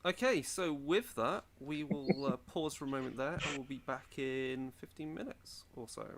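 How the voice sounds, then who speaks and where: A man's voice: mid-range voice, moderate pace. Man hosting, faintly noisy recording. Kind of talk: casual game-session hosting.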